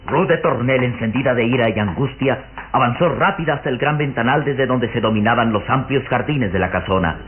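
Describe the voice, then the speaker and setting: A man's voice: medium pitch, a little fast, a little hiss or hum. A man narrating a radio serial in a dramatic, scripted style. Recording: muffled old radio recording.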